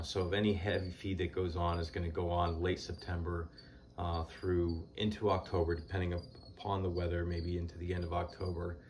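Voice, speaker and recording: Very low-voiced man in his forties, relaxed practical explainer, faint crickets behind. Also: a little hiss or hum. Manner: unhurried, many "uh" pauses, plain practical tone. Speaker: beekeeper. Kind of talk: casual how-to talk. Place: indoors, small shed stacked with hive boxes.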